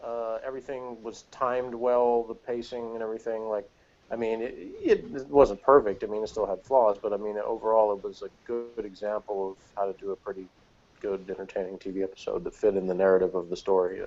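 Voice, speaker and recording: A middle-aged man giving a casual, opinionated review in a rambling, halting way that keeps interrupting itself. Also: fairly low pitch, fairly even intonation, darkish tone, highs cut off. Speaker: podcast panelist reviewing TV episodes.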